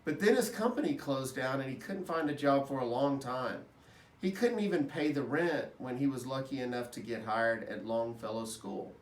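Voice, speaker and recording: A man giving an expressive reading of a children's story. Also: medium pitch, moderate pace. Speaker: storybook reader at an elementary school. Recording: roomy echo, distant microphone.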